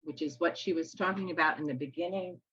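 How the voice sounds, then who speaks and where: A low-voiced woman with a husky, bright edge co-hosts a casual chat. The sound is dull and dry, like a lo-fi call recording. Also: fast, dry recording. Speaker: co-host. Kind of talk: casual discussion.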